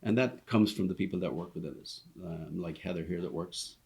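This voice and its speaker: A man's voice: low-pitched, a little fast, creaky, darkish tone, husky. A man in his fifties with a Dublin Irish accent, a restaurant owner telling his story in an easygoing, rambling way with plenty of ums. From Ireland. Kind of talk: casual interview chat. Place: inside one of his restaurants.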